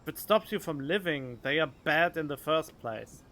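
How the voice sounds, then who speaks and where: A man's voice: animated, moderate pace, fairly bright tone. A man giving casual, blunt commentary as he streams a video game. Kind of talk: casual let's play commentary. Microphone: studio condenser microphone. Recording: faint noise and low rumble.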